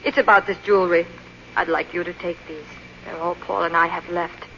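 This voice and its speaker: An actress playing an anxious, grateful, refined French refugee wife in a 1940s radio drama, earnest and pleading. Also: female voice, fairly low pitch, fairly even intonation, brisk, bright, forceful tone, a little hiss or hum. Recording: muffled, reverberant old radio recording.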